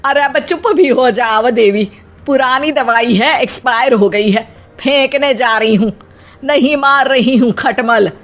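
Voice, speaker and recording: A bossy middle-aged mother-in-law played by a woman in a comic storybook tale. She grumbles with sarcastic exasperation in a fairly high, slow-paced voice. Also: faint music behind. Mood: exasperated, sarcastic. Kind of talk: Hindi comic family story acting.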